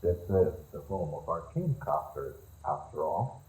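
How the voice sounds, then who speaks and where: A man's voice: low voice, expressive, a little slow, a little mellow, breathy edge, exaggerated voice, rough recording. A man playing a haughty evil sorcerer in a fantasy roleplay audio drama, theatrical, condescending and musing. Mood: commanding, impatient. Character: haughty evil male sorcerer leading a raid.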